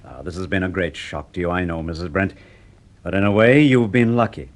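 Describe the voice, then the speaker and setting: A man playing a steady, reassuring police inspector in a vintage radio drama, soothing and methodical. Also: low-pitched, a little fast.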